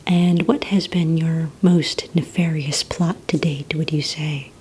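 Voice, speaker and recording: A woman whispering breathily in a soft-spoken ASMR roleplay as a polite, encouraging receptionist, asking questions in a gentle, hesitant, unhurried way. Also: low-pitched, fairly even intonation, a little hiss or hum, low-quality recording. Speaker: ASMR roleplay performer as a villain recruitment receptionist.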